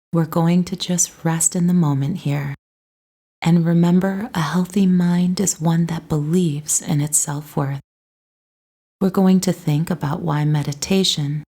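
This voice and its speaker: A woman leads a guided meditation with a mellow, relaxed tone. Her voice sits on the low side, and she reads her script very slowly, with a gentle, soothing delivery.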